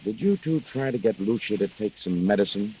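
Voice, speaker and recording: A man playing a brisk, probing police captain in old-time radio mystery style, clipped and commanding, on a vintage recording. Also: lowish voice, lively intonation, dark tone, a little hiss or hum, lightly acted, rough recording. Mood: stern, skeptical.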